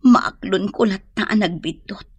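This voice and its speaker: A woman's voice: mid-range voice, moderate pace, a little mellow, husky, no room sound, natural voice. A woman with an Ilocano accent playing a lovesick, heartbroken young mother, plaintive and emotional, in a radio drama. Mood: sorrowful.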